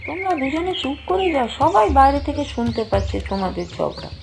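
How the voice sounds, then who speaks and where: A woman's voice: high voice, very animated, fast talker, vocal fry, voice pushed up, exaggerated voice. A woman voicing a doting, easily flustered middle-aged village mother-in-law in a moral-story cartoon. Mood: flustered, pleading.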